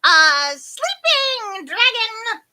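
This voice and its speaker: A storybook old fairy, hard of hearing and cheerfully muddled. The voice is very high and piercingly bright, loud and full of exclamation. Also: female voice, big pitch swings, very slow, heavily acted. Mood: muddled, excited.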